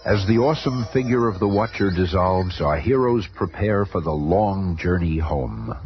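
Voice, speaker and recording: A man's voice: low-pitched, expressive, normal speed, a little mellow, soft background music. A man narrating a superhero radio serial as a dramatic showman storyteller, in 1970s style. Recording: very noisy old radio recording.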